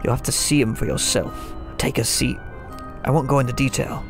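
A man's voice: medium pitch, steady tone, a little fast, natural voice. A man playing a brooding, poetic old lighthouse keeper in an audio drama, musing like a storyteller. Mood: grave, secretive. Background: music.

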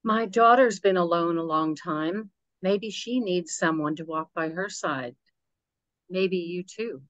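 Woman acting low-voiced protective older mother. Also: takes it slowly, no room sound, muffled recording. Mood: gently concerned. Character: watchful, protective older mother of grown daughter. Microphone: video call microphone.

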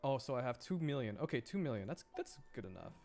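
A man doing casual livestream gameplay commentary, excitable and thinking aloud as he plays. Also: medium pitch, quick pace, soft background music. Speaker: streamer.

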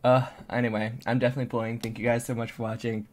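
An excitable content creator giving casual, gushing, slangy reaction commentary on a game. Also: medium pitch, rapid-fire. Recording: music behind the voice.

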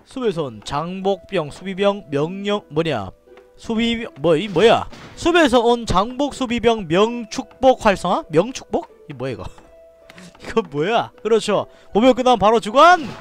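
A man from Daegu with a Daegu dialect accent, giving excitable live commentary on a strategy game, shouting orders and muttering to himself. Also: sits high, normal speed, soft background music. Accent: Gyeongsang (Daegu) dialect. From Daegu, South Korea. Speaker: game streamer.

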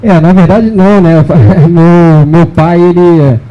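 A man's voice: sits high, steady tone, takes it slowly, lo-fi sound. A man speaking thoughtfully and with some hesitation at a panel on an indoor stage, holding a mic. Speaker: guest. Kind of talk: panel discussion.

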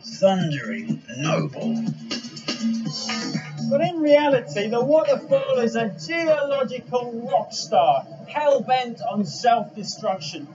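A man's voice: sits high, lo-fi sound. A young man presenting a documentary with dramatic, emphatic scripted narration.

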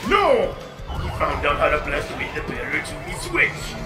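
A man's voice: a little high, wildly swooping pitch, normal speed. A man voicing a bitter, theatrical pirate villain in a comedic game fan dub, talking in hammy pirate slang. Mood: menacing, gloating. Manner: hammy pirate talk, swooping. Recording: roomy, loud game music behind.

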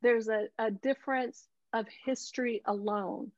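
A middle-aged woman speaking earnestly and deliberately in a presentation over a video call, repeating key words for weight. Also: fairly high pitch, steady tone, darkish tone, no echo. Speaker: photographer giving a talk. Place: indoor room on a video call. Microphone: earbuds on a video call. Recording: dull, dry video-call audio.

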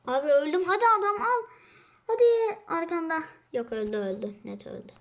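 A young girl chatting excitedly and casually as she hosts and commentates on a video game. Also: sits high, brisk. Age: child. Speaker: host of a gaming channel.

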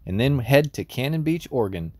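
A man's voice: medium pitch, a little fast, dry recording. A man from Texas talking casually while exploring an old concrete fort for a travel vlog. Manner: excited, exploring on the move. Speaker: travel vlogger.

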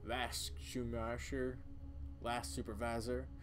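A man voicing a grim, folksy old cloaked cult spokesman for a video game, telling things haltingly. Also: medium pitch, monotone, slight background noise, lightly acted. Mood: grave, ominous.

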